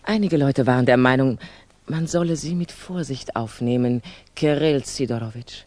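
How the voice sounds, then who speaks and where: An actress in a German radio drama playing a worldly, middle-aged Russian revolutionary woman, probing, composed and gently chiding. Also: very deep voice, moderate pace, dry recording. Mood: confiding.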